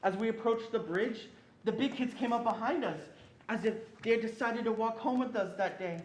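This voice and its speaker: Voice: mid-range voice, normal speed, lightly acted. A young performer playing a protective older brother who recalls his childhood in a readers theatre narration. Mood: solemn, remembering. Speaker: student performer. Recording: echoey room, faint background noise.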